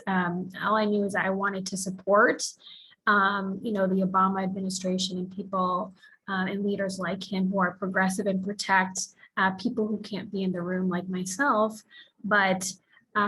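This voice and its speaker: A woman's voice: mid-range voice, fairly bright tone, husky, low-quality recording. A woman from the Philippines speaking earnestly as a panelist, sharing her own path with many fillers. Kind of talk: panel discussion, personal account.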